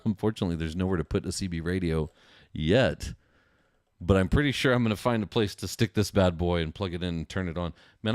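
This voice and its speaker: A middle-aged American man with a low voice and a dry, close sound. He chats informally as a podcast host, enthusiastic and full of casual slang. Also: dry recording. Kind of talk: casual solo livestream chat.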